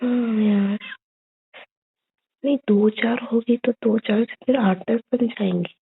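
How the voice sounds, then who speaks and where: A young woman speaks in a casual, intimate phone chat, cooing and childlike, stretching out her phrases. Her voice is a little high, husky and creaky. It comes through a narrow, phone-like line. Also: fairly even intonation, takes it slowly. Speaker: girlfriend on a call with her boyfriend. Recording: muffled, band-limited phone call recording.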